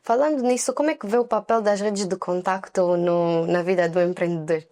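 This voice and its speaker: A woman hosting a podcast, asking polite, measured questions. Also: on the low side, darkish tone. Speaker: podcast host. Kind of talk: podcast interview.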